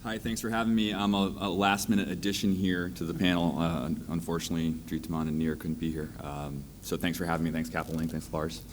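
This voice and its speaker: A man introducing himself on a conference panel as a venture fund partner, upbeat and pitch-like. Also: flat delivery, fast talker, few pauses, slight background noise.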